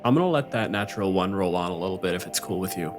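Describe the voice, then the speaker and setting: Low-voiced man running a tabletop game. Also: lively intonation, quick pace, music in the background. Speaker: game master. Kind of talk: running a tabletop roleplaying game, conversational narration.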